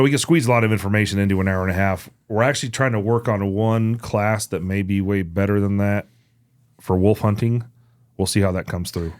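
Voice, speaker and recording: A man's voice: fairly low pitch, dry recording, clean studio sound. A man co-hosting a podcast, talking in a casual, conversational way. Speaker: podcast host. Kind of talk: casual chat.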